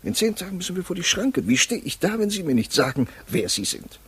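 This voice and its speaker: An actor in a 1950s radio drama playing an officious, exasperated defense lawyer, agitated and pleading. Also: male voice, sits high, sing-song, a little fast, a bit bright, husky, full character voice.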